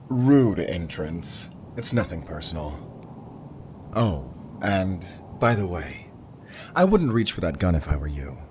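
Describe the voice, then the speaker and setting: A man's voice: low-pitched, darkish tone, slight background noise, exaggerated voice. A man playing a cocky alien thief who leads a crew in a sci-fi audio roleplay, mock-polite and menacing. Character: cool, cocky male alien cargo thief leader. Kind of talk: audio roleplay science fiction acting.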